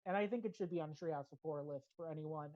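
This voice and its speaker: A woman's voice: low-pitched, quick pace, dry recording. An American woman hosting a podcast, talking casually and giving opinionated pop-culture reviews with chatty asides. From United States. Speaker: podcast host. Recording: slightly dull, band-limited audio.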